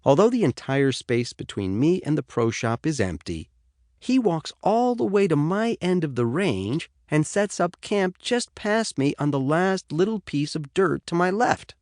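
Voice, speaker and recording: Animated American male audiobook narrator. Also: a little high, unhurried, no room sound, clean studio sound. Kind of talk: scripted first-person fiction narration. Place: dry studio.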